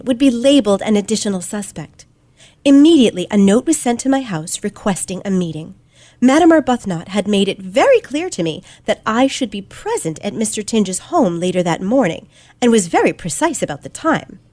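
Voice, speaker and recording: Give the part of a sharp, self-assured teenage girl detective to a woman actor in an old radio mystery. The voice sits fairly high and swings widely for dramatic emphasis. Every phrase is precise and theatrical, in a dry recording. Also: no echo. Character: teenage girl detective, confident, sharp, relishing her explanation. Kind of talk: old-fashioned radio play acting.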